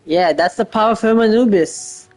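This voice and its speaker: Female streamer, casual gameplay commentary, hesitant think-aloud delivery, medium pitch, soft music behind. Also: moderate pace. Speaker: game streamer.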